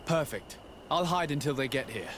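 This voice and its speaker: A stern noble legate. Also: male voice, on the high side, expressive, normal speed, fairly bright tone, slight background noise. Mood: composed. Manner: formal, commanding. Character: authoritative male noble legate, composed. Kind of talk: video game voice acting.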